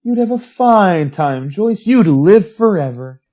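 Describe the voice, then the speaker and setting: A woman playing an obsessive, taunting young man who builds robots, husky-voiced, in a retro radio drama. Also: medium pitch, animated, slow pace, mellow. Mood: obsessive, teasing.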